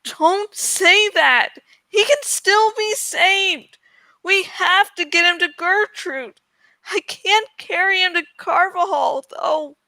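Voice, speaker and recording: Young male storybook hero, played by a woman: high, bright, urgent, pleading and determined. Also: slow, no echo, full character voice. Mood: desperate.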